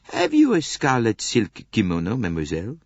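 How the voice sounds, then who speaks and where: A man playing a fastidious older detective, low-voiced and a little husky. He questions politely and keeps everything neat and orderly. The sound is dry and dull. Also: wildly swooping pitch, dry recording, heavily acted. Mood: probing. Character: fastidious, courteous older male Belgian detective. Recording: dull dry studio recording.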